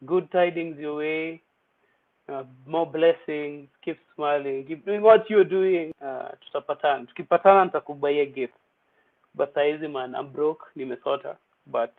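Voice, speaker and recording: A young man hosting an online poetry open mic in a chatty, playful way, mixing English with Swahili slang. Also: fairly high pitch. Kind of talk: casual livestream hosting. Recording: tinny phone-like audio.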